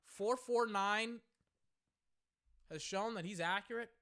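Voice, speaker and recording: A young man hosting a podcast, reacting live while he watches, excitable and swearing freely, his voice going hoarse. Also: sits high, slow pace. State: voice getting hoarse. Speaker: podcast host.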